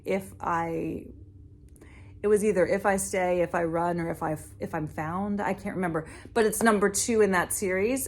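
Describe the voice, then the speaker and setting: A woman hosting a casual book vlog, chatting informally and rambling as she interrupts herself. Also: lowish voice.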